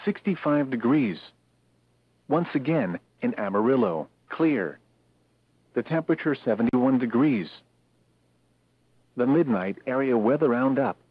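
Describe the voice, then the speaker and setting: A narrowband, tinny radio transmission carries a synthetic male voice reading a weather bulletin. He speaks slowly in clipped, impersonal phrases, with a husky, fuzzy edge to the medium-pitched tone. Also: lively intonation, no echo. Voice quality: synthetic text-to-speech voice. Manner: measured, clipped, impersonal readout of short phrases. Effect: radio broadcast. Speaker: automated weather radio announcer. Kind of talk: scripted weather bulletin readout.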